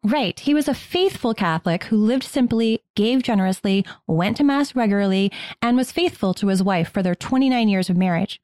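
A woman's voice: medium pitch, lively intonation, fairly quick, few pauses, a little mellow, dry recording. A woman with an American accent, co-hosting a history podcast with scripted but conversational narration. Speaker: podcast co-host.

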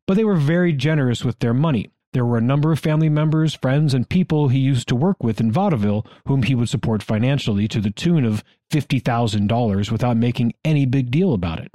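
Male podcast co-host, American, mid-pitched, mellow and close-sounding, delivering scripted historical narration. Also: fast talker, hardly any pauses, no echo.

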